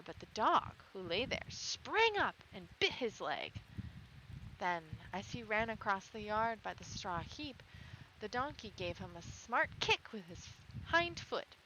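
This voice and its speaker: Woman storyteller over clear background noise. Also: medium pitch, big pitch swings, unhurried. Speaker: storyteller, stream host. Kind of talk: storybook read-aloud with casual asides. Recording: clearly noisy background with clicks.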